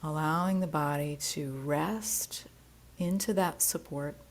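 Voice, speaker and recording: A woman teaching yoga nidra, leading a guided relaxation meditation in a gentle, soothing, unhurried manner. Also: deep voice.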